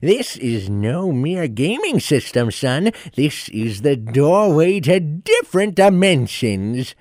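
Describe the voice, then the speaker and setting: A man's voice: on the high side, big pitch swings, takes it slowly, darkish tone, no echo, studio quality. A man playing a kindly old gadget inventor in a comic audio drama, with a folksy, hesitant, chuckling old-timer delivery. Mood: helpful, proud. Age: older.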